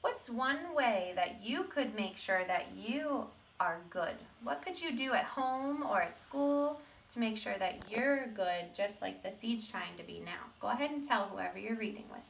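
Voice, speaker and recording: A woman's voice: on the high side, animated, a little slow, vocal fry, hardly any pauses, slight background noise. A woman teacher leading a children's read-aloud, speaking directly to young listeners with encouraging prompts. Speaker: teacher reading aloud to pupils. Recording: roomy echo, distant microphone.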